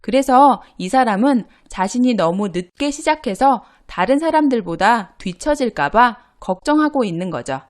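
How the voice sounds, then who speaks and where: A woman leads a grammar lesson in a clear, patient teaching voice. Her pitch sits a little high and moves in a lively way. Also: normal speed, few pauses. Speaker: Korean language teacher.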